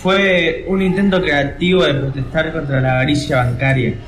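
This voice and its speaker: A man's voice: a little high, fairly even intonation, normal speed, natural voice. A teenage boy with an Argentine accent playing an earnest defense lawyer in an amateur radio comedy. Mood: pleading. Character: earnest defense lawyer pleading absurd case. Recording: echoey room, faint music.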